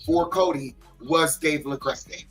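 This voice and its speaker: A man with a slightly high voice co-hosts a podcast and speaks bluntly, cursing freely. Faint music runs behind him. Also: a little slow, fairly bright tone, poor audio. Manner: blunt, swearing. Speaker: podcast co-host. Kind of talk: heated wrestling podcast talk.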